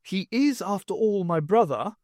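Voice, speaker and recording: A sardonic adventuress, as a male reader puts her on, pitched high above his natural voice. She sounds dry and guarded, with a lively, quick-moving lilt. The recording is close and dead, with no room around it. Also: dry recording. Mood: wary. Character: witty sardonic adventuress, adult woman. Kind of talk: fan audiobook character voice.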